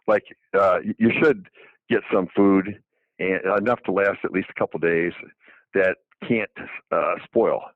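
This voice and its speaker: Older man, deep voice on a phone line. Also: fairly even intonation, a little slow, no echo. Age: 70s. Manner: rambling, many restarts and fillers. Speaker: doctor. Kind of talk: casual radio talk. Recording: phone-like dry narrow line.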